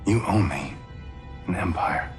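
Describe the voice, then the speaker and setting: A man playing a smug, bitter crime lord out for vengeance in a cinematic game, speaking with deliberate menace. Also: low-pitched. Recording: dark music under the voice.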